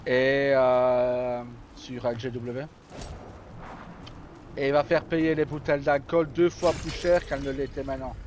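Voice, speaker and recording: A man's voice: medium pitch, flat delivery, a little slow, a little mellow. A man chatting casually as he streams a game, with fillers and trailing remarks. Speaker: live streamer playing a game. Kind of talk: casual chat while gaming, fillers. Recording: noisy, game sounds behind.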